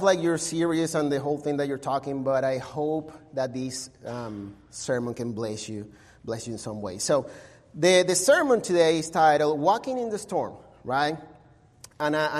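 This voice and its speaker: A young man from Venezuela with a Venezuelan Spanish accent, preaching a sermon in an informal, friendly way, often tagging lines with "right?". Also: fairly high pitch. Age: young adult. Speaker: guest preacher. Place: church sanctuary. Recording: church hall reverb.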